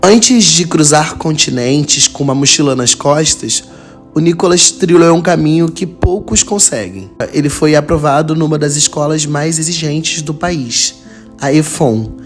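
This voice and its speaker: A man hosting a podcast, reading scripted story narration in a measured, dramatic way. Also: fairly high pitch. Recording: soft guitar music behind.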